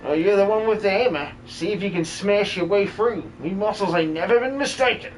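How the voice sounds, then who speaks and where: Game fan dub role: cowardly muscle-bound miner, played by a man, high-pitched, broad working-class speech, slight background noise. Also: fairly quick, close to an everyday voice, poor audio. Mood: boastful, eager. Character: cowardly, boastful muscle-bound male miner, rough and cheery.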